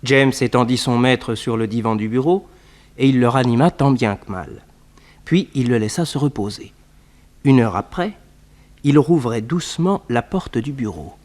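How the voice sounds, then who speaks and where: A man's voice: medium pitch, expressive, normal speed, darkish tone, close to an everyday voice. A man narrating a vintage radio crime serial in scripted, dramatic storytelling style. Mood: measured, suspenseful.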